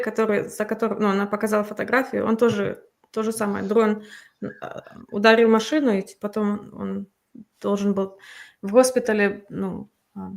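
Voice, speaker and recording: A woman's voice: medium pitch, creaky. A woman working as an informal interpreter, relaying what others said in a conversational way.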